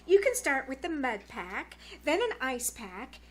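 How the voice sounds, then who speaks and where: A woman's voice: high voice, sing-song, unhurried, fairly bright tone, heavily acted. A woman playing a gushing, bossy teenage girl in a live 1940s-style radio comedy, with theatrical, emphatic delivery. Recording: live small-theater stage recording, background noise.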